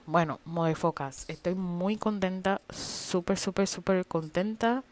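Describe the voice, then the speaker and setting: A woman's voice: lowish voice, unhurried. A woman from Puerto Rico with a Puerto Rican accent, hosting a casual solo podcast, rambling with many fillers and some cursing. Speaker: podcast host.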